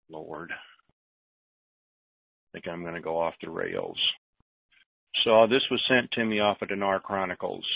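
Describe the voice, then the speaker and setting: A man hosting an informal conference call in a halting way, talking and reading aloud over the telephone. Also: lowish voice, no room sound. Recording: thin, narrow phone line.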